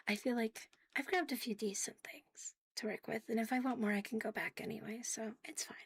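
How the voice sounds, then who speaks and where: A woman chatting casually to viewers on an ASMR livestream, soft and breathy with a playful, cutesy manner, often dropping to a whisper close to the mic. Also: on the high side, steady tone, normal speed, no room sound. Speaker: ASMR live streamer hosting in a virtual world. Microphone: close, sensitive streaming microphone.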